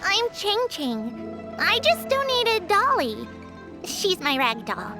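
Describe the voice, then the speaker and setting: A woman's voice: very high voice, big pitch swings, slow pace, full character voice. A woman playing an innocent, hopeful little girl in a video game, earnest and childlike. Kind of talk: video game character acting. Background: game background music.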